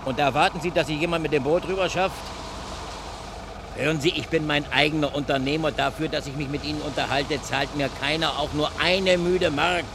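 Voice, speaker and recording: An actor playing a gruff, grudging self-employed local driver, grumbling reluctantly, in a German radio crime drama. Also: male voice, on the high side, fairly even intonation, a little fast, natural voice. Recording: noisy outdoor scene with engine.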